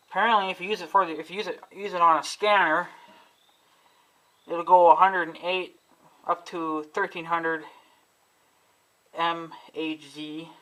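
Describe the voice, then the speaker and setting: Rambling, cussing man with a bright voice. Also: a little high, rough recording. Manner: rambling, swears often, frustrated. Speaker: vlogger. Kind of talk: casual talking to camera about gear.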